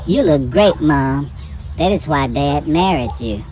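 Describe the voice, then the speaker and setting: Woman playing a low-pitched, lively, questioning young son. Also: slow pace. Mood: teasing. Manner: questioning, earnest. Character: curious, caring young son. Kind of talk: hobby audio drama dialogue.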